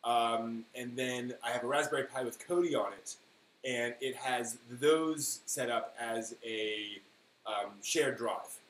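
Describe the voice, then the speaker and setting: A man hosting a casual live stream, replying to viewers in a halting way that often trails off mid-thought. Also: mid-range voice, a bit bright. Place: indoor room at home with printer running. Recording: roomy echo, faint buzzing 3D printer noise. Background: faint buzz of a 3D printer.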